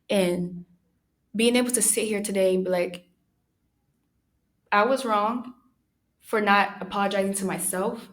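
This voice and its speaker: A young woman hosting her own podcast, talking through personal thoughts in a soft, soothing voice, pausing often and using many fillers. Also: mid-range voice. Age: young adult. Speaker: podcast host. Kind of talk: reflective personal monologue.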